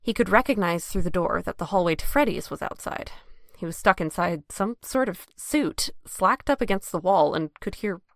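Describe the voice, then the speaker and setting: A woman's voice: on the low side, expressive, moderate pace, few pauses, no room sound, studio quality. A woman narrating a scripted audio drama as its storyteller.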